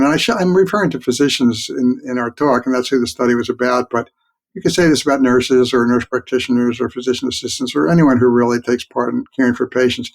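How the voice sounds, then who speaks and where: A man of mature years answers interview questions as an expert guest. He explains things in a measured, plain-spoken manner, with a mid-range voice and a reflective turn. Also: mid-range voice. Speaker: guest expert, physician researcher.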